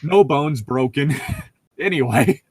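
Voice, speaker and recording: A man's voice: a little high, expressive, slow pace, slightly rough, no echo. A man in casual conversation as a podcast co-host, swearing freely and joking crudely. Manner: crude joking, profane, laughs often. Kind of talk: casual chat.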